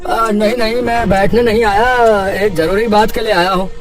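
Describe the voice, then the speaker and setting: Callous landlord played by a male actor, high voice, polite veneer over blunt greed, cartoon drama with music. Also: fairly even intonation, moderate pace, lightly acted. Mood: businesslike. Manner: hesitant openers, then blunt. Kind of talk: Hindi cartoon voice acting. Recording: music under the voice.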